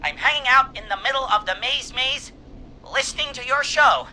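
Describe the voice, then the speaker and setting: A man's voice: very high-pitched, normal speed, bright, forceful tone, slight background noise, exaggerated voice. A man playing an earnest, naive young lovesick caller to a radio show in a video game, dreamy and sappy. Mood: hopeful, lovesick.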